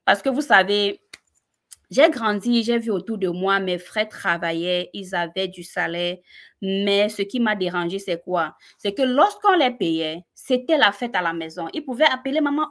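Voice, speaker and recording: A woman with a Cameroonian French accent telling her personal story on a live stream, animated and talking straight to her listeners. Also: mid-range voice.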